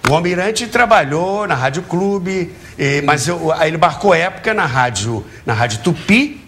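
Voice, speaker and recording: An older man with a Brazilian accent, reminiscing as an interview guest in an animated, emphatic way. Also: fairly high pitch, a little slow. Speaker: talk show guest, radio and TV educator.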